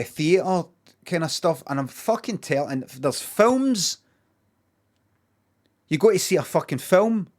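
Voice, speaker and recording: Glaswegian man, somewhat high-pitched, casual streamer chat, rambling, repetitive, emphatic, lots of swearing. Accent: Glaswegian Scottish. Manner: sweary, emphatic, repeats himself. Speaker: livestreamer.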